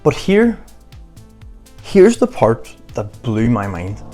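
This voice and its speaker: A man's voice: lowish voice, darkish tone. A man giving an earnest motivational talk to camera in short, emphatic phrases. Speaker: motivational vlogger.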